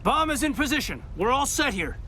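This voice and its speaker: A man's voice: very high voice, sing-song, fairly quick, noisy background. A man playing a dutiful soldier in a video game, reporting earnestly to a commander. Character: dutiful, steady male soldier.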